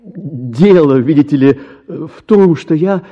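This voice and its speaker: An actor playing a flustered, evasive young bachelor in a theatrical radio play, stammering and hesitant. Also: male voice, on the high side, lively intonation, takes it slowly, dark tone, highs cut off. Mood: awkward, evasive. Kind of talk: theatrical radio drama acting.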